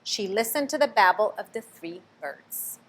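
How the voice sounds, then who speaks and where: Lady reading a kids book out loud, lively, stops to ask questions, middle pitch. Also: rough recording. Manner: expressive, pauses to ask the listener questions. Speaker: storybook reader. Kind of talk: reading a picture book aloud to children.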